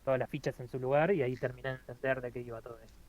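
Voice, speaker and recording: A man, co-hosting a casual album review, talking haltingly with many fillers and restarts. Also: mid-range voice, steady tone, darkish tone, highs cut off. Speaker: podcast co-host reviewing an album.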